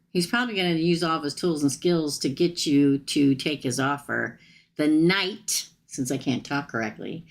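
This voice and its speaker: Tarot reader, woman, low gravelly voice, chatty with viewers, tired and getting hoarse. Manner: chatty, addresses viewers directly. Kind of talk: live-stream tarot reading.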